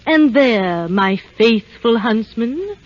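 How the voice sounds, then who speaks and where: Evil queen for vintage radio play: cold, commanding, unhurried, wide dramatic range, muffled period sound. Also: on the high side, expressive, no room sound. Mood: icy command. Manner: imperious, deliberate, menacing. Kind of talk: old-time radio drama acting. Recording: dull vintage radio recording.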